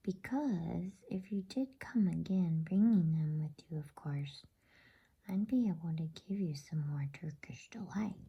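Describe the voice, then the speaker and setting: A woman's voice: lowish voice, measured pace, creaky, mellow, slightly rough, muffled recording, full character voice. A woman voicing a coaxing, imperious, inquisitive witch queen in a whispered bedtime storybook reading.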